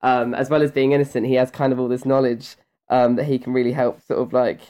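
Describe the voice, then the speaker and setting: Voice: medium pitch, little pitch movement, quick pace, dark tone. A voice actor chatting casually in an interview, rambling and hesitant with a giggly edge.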